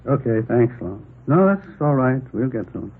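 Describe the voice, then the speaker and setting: A man's voice: mid-range voice, very animated, normal speed. Helpful policeman on muffled old radio. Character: helpful, businesslike male police office colleague. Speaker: supporting actor in a police radio drama. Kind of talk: understated 1950s radio acting. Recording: muffled old radio recording, faint noise.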